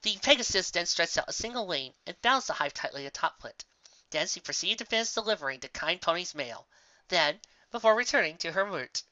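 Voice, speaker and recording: A narrator reading a scripted fan fiction story in an expressive, dramatic way, close to the microphone. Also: mid-range voice, no room sound. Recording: slightly dull, dry home recording.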